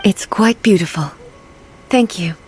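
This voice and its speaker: A woman voicing a solemn, grieving immortal ruler in a video game, speaking formally and with measured restraint in a soft voice. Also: mid-range voice, slight background noise, close to an everyday voice. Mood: composed. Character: solemn female electro archon, immortal grieving shogun. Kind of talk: video game character dialogue.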